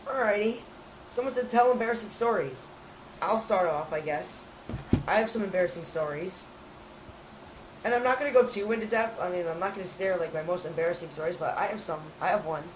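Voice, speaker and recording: A young man with a raspy, hoarse voice from a cold, rambling in casual chat as a channel co-host. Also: high-pitched, a little fast, a little hiss or hum, rough recording. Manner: rambling, restarts often.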